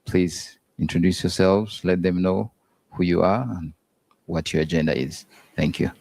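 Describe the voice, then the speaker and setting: A man's voice: deep voice, fairly even intonation, normal speed, creaky, darkish tone, no room sound. A man working as master of ceremonies at a trade expo, formal and hesitant as he calls up the sponsors. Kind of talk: emcee announcements. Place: event hall.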